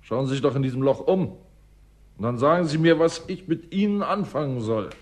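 An actor in a German radio drama playing a stubborn, surly scientist with a brusque, dismissive manner. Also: male voice, on the high side, expressive, a little fast, darkish tone. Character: surly, stubborn male scientist scorning bureaucracy.

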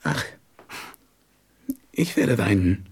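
An actor playing a gentle, wise and wistful fox in a radio play, speaking tenderly and deliberately and often dropping into whispers. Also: male voice, on the low side, exaggerated voice. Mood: tender, sad. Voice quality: husky, breathy voice that drops into whispers. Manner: deliberate, expressive, tender storybook delivery.

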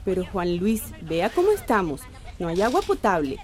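A woman's voice: fairly low pitch, big pitch swings, moderate pace. An actress playing a worried, fed-up, plain-spoken mother in radio drama dialogue, with a plaintive manner.

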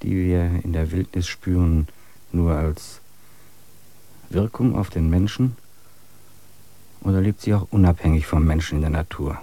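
A man narrating a radio play in a measured, contemplative way. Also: sits low, dark tone.

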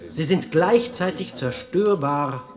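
A man acting a haunted physicist in a German radio play, speaking in an introspective, halting and at times declamatory manner. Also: on the high side, lively intonation, soft background music. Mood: lecturing. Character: troubled male physicist, haunted and brooding, lecturing on particles.

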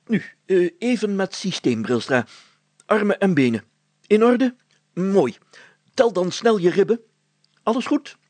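A man's voice: high voice, animated, unhurried, pauses often, a little mellow, no room sound, pitched above the performer's own voice. A man voicing a pompous, blustering village mayor in a story, talking in grand formal words broken by groans and interjections. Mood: brisk, commanding. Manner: pompous, old-fashioned formal wording, full of groans and interjections.

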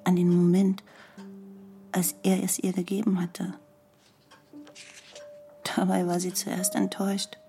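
A pensive female storyteller in a literary radio play, low and very even in pitch. She speaks in deliberate, measured phrases over clear music. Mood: hushed, wondering. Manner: measured, deliberate, in short pauses. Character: pensive woman narrator of a tender memory. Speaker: narrator.

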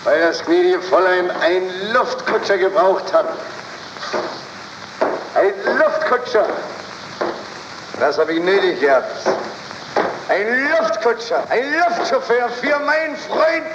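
High-pitched indignant grumbler, muffled and echoey. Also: male voice, animated, slow, long pauses, far from the mic, noisy background. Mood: indignant, mocking.

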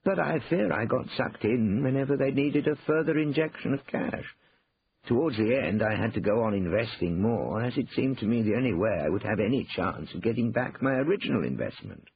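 A man voicing a shrewd older gentleman in an audiobook, politely rueful and slyly composed. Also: mid-range voice, lively intonation, a little fast, creaky, highs cut off, slight background noise, voice pushed up.

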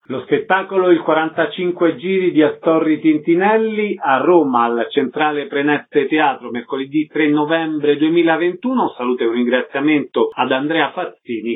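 A man's voice: on the high side, normal speed, few pauses. A man hosting a radio programme, introducing guests and listings and asking short interview questions. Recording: slightly dull radio audio.

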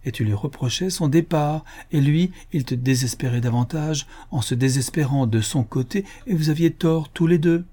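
A man reading the part of an indulgent grey-haired mother who gently scolds her children. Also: fairly high pitch, normal speed, few pauses, pitched above the performer's own voice, lightly acted. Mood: fond scolding. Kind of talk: audiobook character reading.

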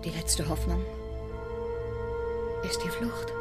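An actress playing a proud, determined sailor in a radio drama, speaking earnestly with deliberate pauses. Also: female voice, lowish voice. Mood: calm, earnest. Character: determined, proud woman sailor, recovering from injury. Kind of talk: German radio drama acting. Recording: studio radio drama with music underneath. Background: music.

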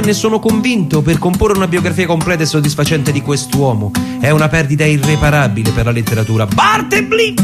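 A man voicing a cautious older lawyer who tells his story in a measured literary monologue with deliberate pauses. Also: fairly high pitch, voice pushed up. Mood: emphatic. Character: prudent, cautious, unambitious older lawyer recalling his strange scrivener. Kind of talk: dramatic first-person literary monologue over music. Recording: music behind the voice.

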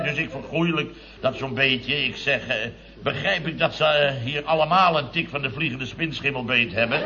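An actor playing a grumbling middle-aged building contractor boss who spins chatty anecdotes in a vintage radio comedy. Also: male voice, a little high, natural voice. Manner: chatty, grumbling anecdote telling with tag words. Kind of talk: 1960s-70s Dutch radio comedy acting. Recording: dull vintage radio recording.

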